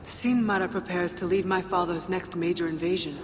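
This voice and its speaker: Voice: medium pitch, fairly even intonation, brisk, soft background music, natural voice. A performer playing a cool, dry female fire nymph in a fantasy video game, speaking formally in clipped, wry phrases. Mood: cool, serious. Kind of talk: video game cutscene acting. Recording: long reverb, some background noise.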